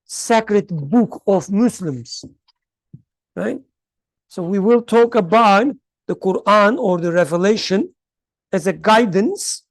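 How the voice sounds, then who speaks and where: Class leader explaining a text, slow and searching, dry room sound, often checks understanding. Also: medium pitch, no room sound. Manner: unhurried, thinks aloud with restarts, checks in with "right?" and "okay?"; clears throat often. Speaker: teacher leading a study class.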